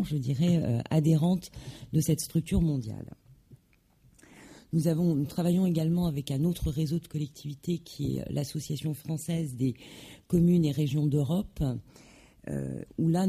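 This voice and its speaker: A woman giving a formal presentation at a conference, measured and halting, with many hesitations and self-corrections. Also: low voice, dark tone, husky. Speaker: conference speaker.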